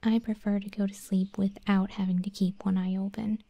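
Woman as a whispering, dark-voiced tsundere scorpion girl. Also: medium pitch, level tone, moderate pace, dry recording. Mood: grudging. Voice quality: soft, breathy whispering. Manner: grudging, flustered, haughty. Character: proud, gruff tsundere scorpion monster girl fighter. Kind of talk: whispered ASMR audio roleplay.

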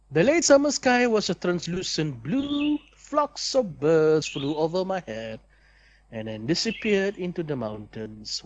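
A young man reads visual novel narration aloud as a let's player, deliberate and storybook-like, with casual asides. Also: high-pitched, dull audio, slight background noise.

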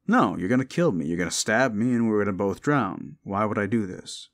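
A man's voice: lowish voice, animated, a little fast. A man voicing a naive, reasonable frog from a fable in a casual way. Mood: wary.

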